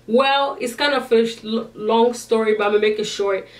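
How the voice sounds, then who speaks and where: A woman's voice: fairly high pitch, steady tone, normal speed, distant mic. A young woman with an accent chatting to her viewers and answering their questions, with frequent restarts. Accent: non-native English accent. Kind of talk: casual Q&A vlog. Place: indoors, in front of a sequined backdrop.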